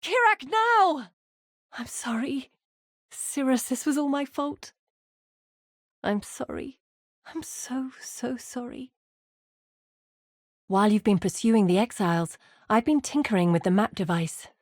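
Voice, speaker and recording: A woman's voice: fairly high pitch, lively intonation, no room sound, studio quality. An actress voicing a weary, earnest woman who leads exiled warriors in a video game, speaking in a grave, articulate manner. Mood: urgent, alarmed, then sorrowful, then businesslike. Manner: measured, grave, articulate storytelling. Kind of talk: scripted video game voice acting.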